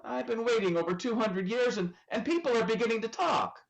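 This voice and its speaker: A woman's voice: on the high side, lively intonation, fairly quick, muffled recording. A woman playing an eager, naive apprentice angel in a radio play, polite, hesitant and stammering. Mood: hopeful, sheepish. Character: eager, flustered, naive two-hundred-year-old apprentice angel. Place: video call.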